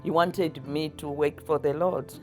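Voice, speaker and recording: An older woman with a Zambian accent, recalling her life in conversation in a halting, chuckly way. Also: low voice, steady tone, soft background music. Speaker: interviewee, athlete's mother. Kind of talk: personal recollection in conversation.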